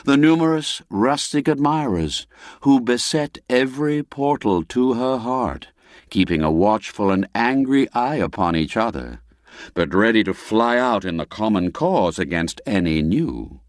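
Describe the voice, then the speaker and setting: A man narrating a classic literary story in a measured, deliberate and expressive storytelling manner. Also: on the low side, dry recording, studio quality.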